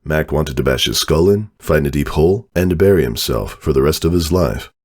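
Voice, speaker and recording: A man's voice: rumbling low, lively intonation, normal speed. A man giving a dramatic storybook narration of a fan fiction tale.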